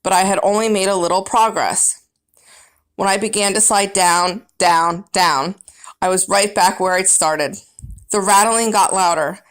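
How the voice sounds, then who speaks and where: A woman's voice: mid-range voice, fairly even intonation, a little slow, fairly bright tone. A woman reading a children's book aloud as first-person narrator, with dramatic, emphatic storybook phrasing.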